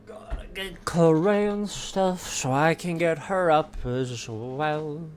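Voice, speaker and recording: A man's voice: on the high side, slow pace. A man giving casual gameplay commentary, rambling and thinking aloud as he plays. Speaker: game streamer. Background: video game music.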